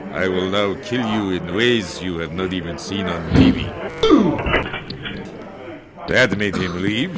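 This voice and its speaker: An actor playing a blunt, threatening homeless man who speaks halting, formal English. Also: low-pitched, loud music behind the voice. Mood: menacing. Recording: loud background noise.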